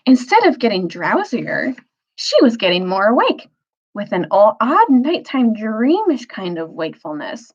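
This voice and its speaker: Expressive woman storyteller with a husky voice. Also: medium pitch, a little slow, dull audio. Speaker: storyteller, teacher reading aloud. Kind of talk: expressive children's novel read-aloud.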